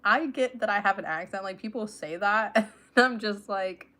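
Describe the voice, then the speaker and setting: A woman's voice: mid-range voice, moderate pace, bright, forceful tone. A young woman hosting a casual livestream, reading aloud and chatting affectionately with her viewers. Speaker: livestream host.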